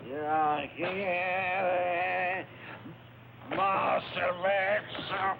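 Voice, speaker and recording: An actor playing a brisk, officious policeman in an old 1950s film. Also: high voice, flat delivery, creaky, heavily acted. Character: brisk, officious Mexican policeman, genial with newlyweds. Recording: noisy, tinny old film soundtrack.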